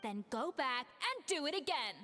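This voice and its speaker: A stern young woman chef speaks in a high, sharp, cutting voice. She is brisk and exacting in anime game style, with a long reverb on the sound. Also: sing-song, soft background music, exaggerated voice. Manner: brisk, scolding, crisp.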